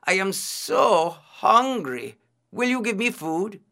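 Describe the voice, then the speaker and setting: A man voicing a storybook god disguised as a hungry priest, drawn-out and declamatory. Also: medium pitch, lively intonation, vocal fry, sharp tone, heavily acted. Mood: pleading, calling.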